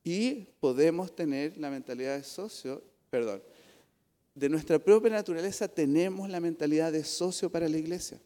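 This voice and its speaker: A man's voice: fairly high pitch, normal speed, mellow. A middle-aged man with a Chilean accent preaching a sermon from the pulpit, earnest and conversational, with asides to the congregation. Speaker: pastor. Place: church. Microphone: pulpit microphone. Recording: long hall reverb in church.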